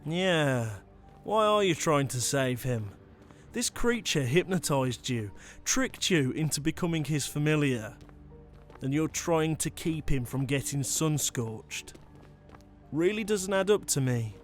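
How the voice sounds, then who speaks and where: Man playing a slow-talking, sardonic monster hunter. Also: fairly high pitch, lively intonation, faint music behind. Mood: suspicious. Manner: casual, sardonic drawl. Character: taunting, impatient male monster hunter, casually menacing. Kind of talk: dramatic ASMR audio roleplay acting.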